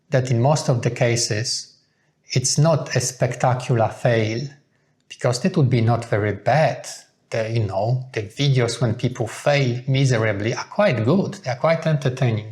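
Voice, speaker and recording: A man speaks at a middling pitch. He addresses viewers as a co-host in a relaxed chat, laying out his thoughts in a measured, earnest manner. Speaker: co-host of a couple's video channel.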